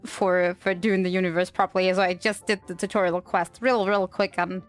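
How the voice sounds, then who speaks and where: A woman's voice: lowish voice, little pitch movement, brisk. A woman livestreaming a game, reacting casually with wry, playful commentary. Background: faint game music.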